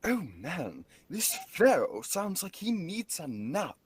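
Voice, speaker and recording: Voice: mid-range voice, very animated, slow pace, husky, no room sound, full character voice. A student performer voicing a wisecracking, cheeky talking magical book in a radio play, quipping back with questions. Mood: wry, proud. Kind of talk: student radio theatre acting.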